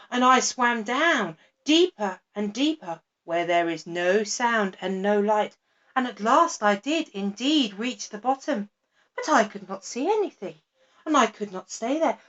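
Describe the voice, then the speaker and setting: Woman doing a slow, weary toad. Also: mid-range voice, animated, a bit bright, dull audio. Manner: slow, weary, repetitive telling. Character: patient, plodding male toad. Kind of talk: storybook reading.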